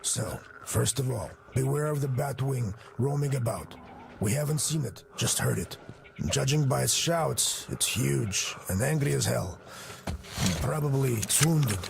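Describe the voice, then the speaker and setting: A man playing a wary but helpful wasteland scout in a video game, gravelly and matter-of-fact. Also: mid-range voice, unhurried, noisy background. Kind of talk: video game character acting.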